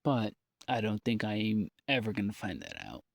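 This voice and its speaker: A man recording a rambling, confessional audio diary in a halting, downbeat, informal way with long pauses. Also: on the low side, sing-song, gravelly, dry recording, studio quality. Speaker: audio diarist.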